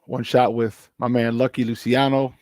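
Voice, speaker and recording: Slightly hoarse, animated sports host. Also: male voice, medium pitch, moderate pace, no room sound. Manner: animated storytelling with repeated words for emphasis. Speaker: sports talk show host. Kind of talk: casual morning show chat.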